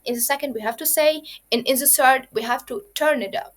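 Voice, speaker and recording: A woman teaches children the basics of coding. Her voice sits a little high, and she explains each point step by step and repeats it, with plenty of fillers along the way. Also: a little fast. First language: Arabic. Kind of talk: kids' coding lesson.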